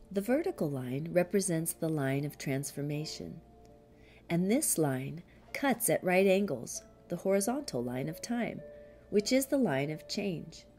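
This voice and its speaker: A woman reading a spiritual commentary as an audiobook, in a measured, deliberate manner with frequent pauses. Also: low voice, a little mellow.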